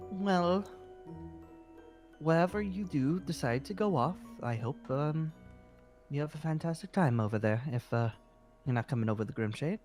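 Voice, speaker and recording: A man playing a halting, animated guild leader. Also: a little high, close to an everyday voice. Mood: gracious, rambling. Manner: hesitant, rambling, halting. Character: eager, apologetic fantasy guild leader welcoming recruits. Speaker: roleplay performer. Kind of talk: improvised Minecraft roleplay dialogue. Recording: music under the voice.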